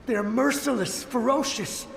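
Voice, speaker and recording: Desperate male game soldier, high-pitched, anguished halting delivery, long ghostly reverb, music behind. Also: vocal fry, a little hiss or hum, full character voice. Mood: urgent warning. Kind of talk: dramatic video game acting.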